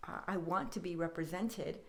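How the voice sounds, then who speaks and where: A woman's voice: lowish voice, normal speed, a bit bright. A woman speaking in a reflective way in a conversational podcast discussion, repeating phrases for emphasis. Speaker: podcast guest.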